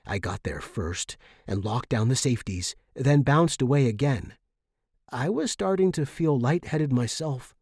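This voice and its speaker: A man's voice: mid-range voice, lively intonation, unhurried, darkish tone, husky, no echo, studio quality. A man reading scripted first-person fiction as an audiobook narrator.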